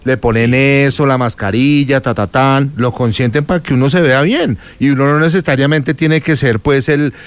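A man co-hosting a live stream, animated and playful. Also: medium pitch, moderate pace, hardly any pauses, smooth. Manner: animated, playful, repeats names. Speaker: co-host. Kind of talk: casual live stream chat.

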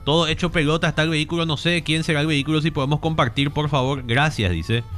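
A man's voice: fairly high pitch, fairly even intonation, quick pace, a bit bright, soft background music. A man, hosting a casual radio chat and often murmuring along as others talk. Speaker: radio host.

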